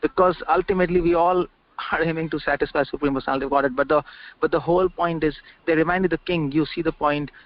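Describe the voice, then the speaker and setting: A man's voice: on the high side, fairly even intonation, fast. A man giving an informal scripture lecture over a conference call, repeating words and asking rhetorical questions. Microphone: conference call line. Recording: dull dry conference call audio.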